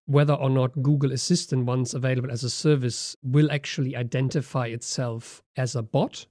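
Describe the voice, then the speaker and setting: Man, podcast co-host, warm dark voice, dry recording, casual discussion. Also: mid-range voice, steady tone, normal speed, no room sound.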